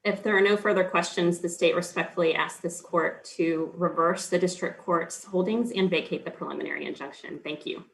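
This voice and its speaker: A man arguing for the state before an appeals court, formal and measured, with frequent ums and small restarts. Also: high-pitched, steady tone, gravelly, fairly bright tone, poor audio. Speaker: state's attorney. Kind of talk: appellate oral argument.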